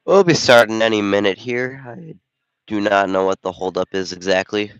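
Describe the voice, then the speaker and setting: A man's voice: on the low side, normal speed, smooth, dry recording, highs cut off. A man doing casual play-by-play commentary while also running the camera, congested from a cold, chatting and thinking aloud. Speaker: volunteer game commentator and camera operator. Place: school gym during a basketball game.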